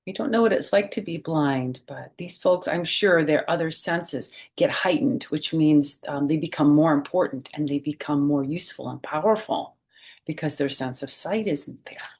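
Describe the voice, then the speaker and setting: A woman's voice: low voice, normal speed, a little mellow. A woman hosting a children's storytime, reading aloud expressively and speaking directly to young listeners with questions and simple explanations.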